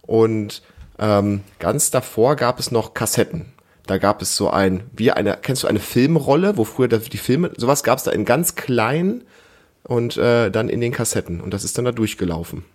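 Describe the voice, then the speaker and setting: Male podcast host, 30s-40s, slightly low voice, casual and encouraging, explains simply as if to a child. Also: expressive, normal speed. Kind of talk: casual family chat with a child.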